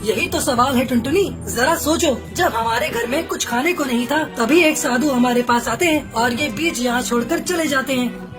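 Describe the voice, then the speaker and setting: A woman's voice: high-pitched, moderate pace, natural voice. A woman voicing an earnest, caring young husband bird in a cartoon moral story. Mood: wondering. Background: music.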